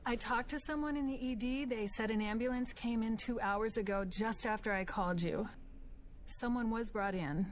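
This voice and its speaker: A woman's voice: a little high, moderate pace, close to an everyday voice. An actress playing a worried middle-aged mother in an audio drama, with faint noise behind her. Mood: grave. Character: worried mother relaying hospital news.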